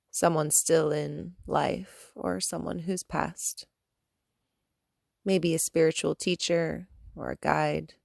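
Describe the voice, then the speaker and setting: A woman guiding a meditation as a yoga teacher, speaking in a calm, soothing manner with gentle instructions and long pauses. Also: deep voice, creaky, dry recording, studio quality. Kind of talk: guided meditation.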